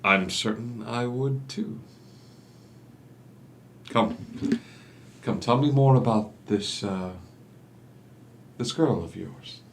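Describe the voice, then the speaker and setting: An actor playing a charming, calculating young doctor and swindler in a musical theatre table read, smooth and falsely modest. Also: male voice, medium pitch, takes it slowly, long pauses, noisy background. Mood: brisk, eager.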